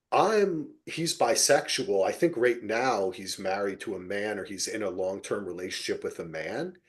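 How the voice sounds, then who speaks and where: A man hosting a podcast, chatting casually about television in a rambling, animated way and swearing freely. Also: lowish voice. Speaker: podcast host. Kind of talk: casual TV recap discussion.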